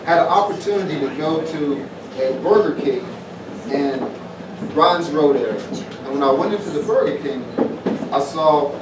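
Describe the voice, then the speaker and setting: A middle-aged man from southwest Virginia, giving a plainspoken, informal speech to an audience at an evening community event. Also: fairly high pitch. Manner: folksy, plainspoken, repeats phrases. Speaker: former pro football player announcing a festival. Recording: roomy, distant mic, noisy event.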